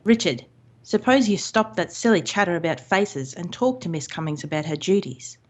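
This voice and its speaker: An actress playing a demanding, jealous middle-aged wife in an old-time radio drama, with an imperious, scolding manner. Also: female voice, fairly low pitch, expressive, normal speed.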